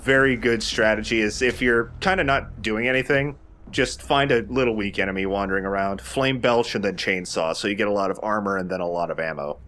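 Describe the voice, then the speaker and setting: Low-voiced man doing game commentary. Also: fairly quick, a bit bright, slight background noise. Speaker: host of a video game let's play. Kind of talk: casual gameplay commentary.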